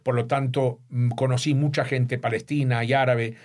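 An older man from Uruguay, an author speaking thoughtfully in an interview about his book and often pausing to search for words. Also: medium pitch, flat delivery, darkish tone. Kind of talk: reflective interview talk.

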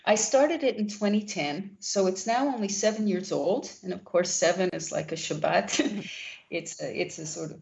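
A woman who runs a literary journal speaks in a low voice with a gravelly edge. She answers at length, gently, and often restarts her sentences. The recording sounds slightly dull. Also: normal speed. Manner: gentle, warm, with many restarts. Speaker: founding editor of a literary journal. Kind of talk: interview answers.

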